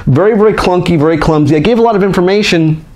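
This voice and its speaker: A man with a somewhat high voice hosts his own toy collecting channel from home, speaking into a desk mic. He chats loosely and openly, circling back and restarting lines as he airs complaints and small wins. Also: fast, darkish tone. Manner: chatty, candid, frequently restarts and vents frustration. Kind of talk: casual monthly update vlog. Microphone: desk microphone.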